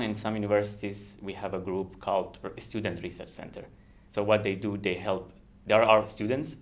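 Low-voiced Iranian man, earnest and halting. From Iran, Kurdistan region. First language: Kurdish. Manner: earnest, halting, laughs often. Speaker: guest researcher. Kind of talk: podcast interview.

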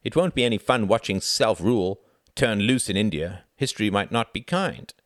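A man's voice: lowish voice, animated, moderate pace, no room sound, clean studio sound, voice pushed up, close to an everyday voice. A man voicing a reserved, sceptical, slightly irritable Oxford graduate student in a storybook, terse and guarded in manner. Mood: dry, doubtful.

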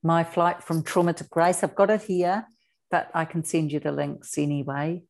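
A woman with a New Zealand accent speaking earnestly as an interview guest, deliberate and emphatic, repeating words for weight. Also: low voice, steady tone, darkish tone. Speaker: interview guest, author and abuse survivor advocate.

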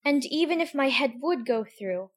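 Young girl, high-pitched, prim, wondering, chatty self-talk, audiobook. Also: animated, moderate pace. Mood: earnest. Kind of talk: full-cast audiobook acting.

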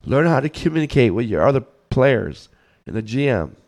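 A hoarse man, getting over the flu, hosts a podcast and delivers a blunt, scolding sports rant, often repeating words as he thinks. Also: medium pitch, sing-song, normal speed, a little mellow. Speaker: podcast host.

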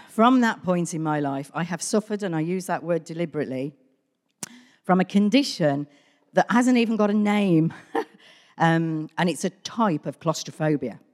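A middle-aged woman with a hoarse, gruff voice, candidly telling her personal story to a church. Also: deep voice, a little mellow. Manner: candid, conversational, self-deprecating. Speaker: church member giving testimony. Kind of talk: personal testimony. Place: large church hall. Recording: church hall with long reverb.

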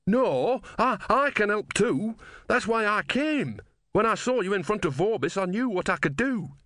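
A man's voice: high voice, lively intonation, normal speed, a bit bright, no echo, studio quality, voice pushed up. A man voicing an earnest young male novice priest in an audiobook story, hesitant and plain-spoken. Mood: anxious, insistent.

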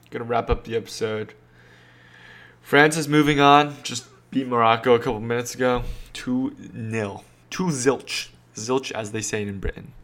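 A man's voice: medium pitch, a bit bright. A young man hosting a casual solo podcast, rambling and self-deprecating, full of slang. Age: young adult. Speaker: podcast host.

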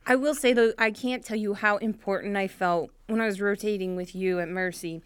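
Enthusiastic young woman, crisp and dry. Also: medium pitch, fairly even intonation, brisk, no echo. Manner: enthusiastic, admiring. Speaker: podcast co-host, medical student. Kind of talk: casual interview chat.

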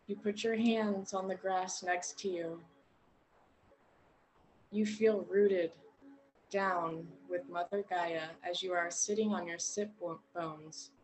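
A woman guiding a meditation in a gentle, unhurried way, leaving long pauses between short phrases. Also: mid-range voice, slight background noise, poor audio. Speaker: meditation guide. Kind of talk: guided meditation narration.

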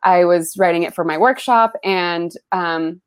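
A young adult woman whose first language is Spanish, from the Bay Area, talking in a casual interview. She fills her speech with "like" and "um" and grows animated when recalling stories. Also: on the low side, unhurried, clear, resonant tone. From San Francisco Bay Area, US. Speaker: interview guest, writer.

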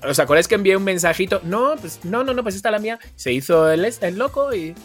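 A man with a fairly high voice chats as a podcast co-host. He tells anecdotes in a colloquial way, slipping into quoted dialogue, with music in the background. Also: expressive, fast. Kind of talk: casual banter and anecdotes.

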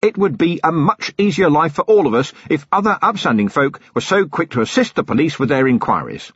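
A man's voice: medium pitch, big pitch swings, normal speed, breathy edge, dry recording, lo-fi sound. A man reading an audiobook, voicing a tough middle-aged male police commander who is stern and dryly sarcastic. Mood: hearty.

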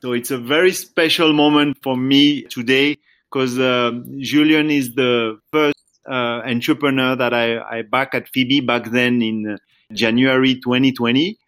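A man hosting a podcast, introducing his guest and asking questions with many hesitant filler pauses. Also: mid-range voice, steady tone, fairly bright tone, smooth. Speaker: podcast host and investor.

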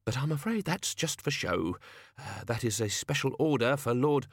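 A man's voice: medium pitch, lively intonation, moderate pace, no echo, studio quality, pitched above the performer's own voice, lightly acted. A man voicing a nervous, fidgety little toy maker who stammers in a shop. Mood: flustered. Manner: flustered, hesitant.

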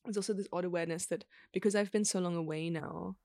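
A woman's voice: lowish voice, steady tone, fast talker, gravelly, darkish tone, no echo, clean studio sound. A young woman from Australia, speaking as a podcast guest in a reflective, thoughtful way and using frequent "like" fillers. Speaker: interview guest. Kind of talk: casual conversation.

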